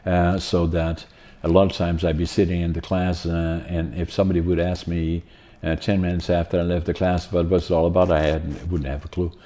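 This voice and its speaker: Older Dutch-accented man, deep dark voice. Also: flat delivery, brisk. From Netherlands. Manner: rambling, many fillers and restarts. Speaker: podcast host. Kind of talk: casual interview talk.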